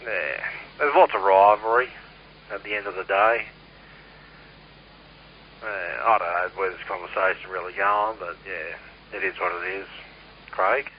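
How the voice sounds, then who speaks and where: An Australian man with a low voice talks over a CB radio link. He rambles bluntly in casual, sweary chat, and the sound is dull and lo-fi with some hiss and noise. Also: measured pace, long pauses. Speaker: CB radio operator. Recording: muffled, noisy CB radio transmission.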